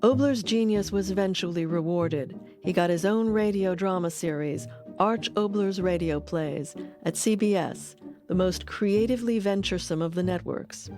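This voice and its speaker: A woman reading scripted documentary narration in a measured, articulate manner. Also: lowish voice, warm tone, faint music behind.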